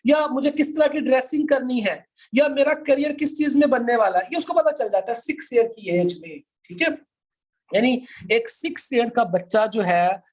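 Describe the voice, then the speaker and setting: High-pitched Pakistani man giving a lecture. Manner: explanatory, repeats points, checks understanding. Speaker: law lecturer. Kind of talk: informal classroom lecture with questions to students.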